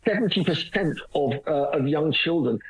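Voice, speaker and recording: A man's voice: on the high side, animated, moderate pace. A man being interviewed, rambling and hesitant, with many restarts and a dry self-mocking humor. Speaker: guest. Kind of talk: phone-in radio interview. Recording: muffled lo-fi line.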